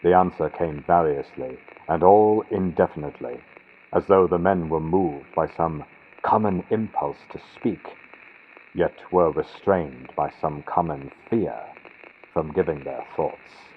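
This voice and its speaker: A man with a low voice reads gothic horror as scripted audiobook narration. The recording is lo-fi, like vintage radio, with a faint layer of noise from a built-in computer microphone. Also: unhurried.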